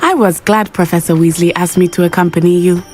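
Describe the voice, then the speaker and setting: A woman's voice: fairly low pitch, steady tone, quick pace, music in the background, natural voice. A woman voicing a friendly, adventurous teenage schoolgirl witch in a video game, speaking in careful, formal phrasing. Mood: friendly, eager. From grew up abroad, in a land without snow.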